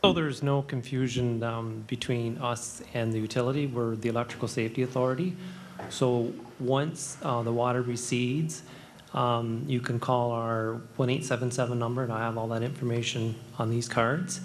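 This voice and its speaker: A man's voice: mid-range voice, fairly even intonation, normal speed, slight background noise. A man from a safety authority explaining procedures at a public meeting in a procedural way. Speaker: electrical safety official. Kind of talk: public information answer.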